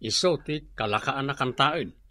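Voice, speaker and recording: A man's voice: medium pitch, moderate pace, bright, forceful tone, no echo, natural voice. A man acting a boozy, teasing village drinking buddy in an Ilocano radio comedy-drama, bantering with an Ilocano accent. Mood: tipsy, puzzled. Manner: tipsy, bantering.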